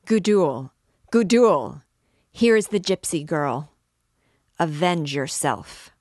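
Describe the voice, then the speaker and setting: Woman doing a creepy obsessed old priest, low slow and bossy, dry close sound. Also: dry recording. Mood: vengeful. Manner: forceful, commanding declamation. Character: obsessed, menacing older male priest.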